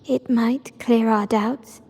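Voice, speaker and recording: A high-pitched young noblewoman from a video game. She is composed and formal, giving orders with cool authority. Clear music plays behind her. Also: fairly even intonation, slow pace, darkish tone. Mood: grave, brooding. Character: poised young heiress of a powerful family, investigating a betrayal. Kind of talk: scripted video game character acting.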